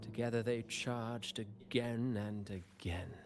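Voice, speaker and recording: A theatrical schemer in a video game. He speaks in ornate, courteous phrases with a sly, mocking edge, at an ordinary male pitch, with clear music underneath. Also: slow. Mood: storytelling. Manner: eloquent, florid, mockingly polite.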